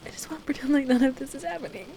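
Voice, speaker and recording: Creaky-voiced, distressed woman acted out in intimate roleplay. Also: high-pitched, expressive, mellow, slight background noise. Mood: upset, near breaking. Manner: halting, emotional, pleading conversational delivery. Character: distressed, soaked woman wanting to leave her partner, defensive. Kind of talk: intimate ASMR roleplay audio drama, one side of a conversation.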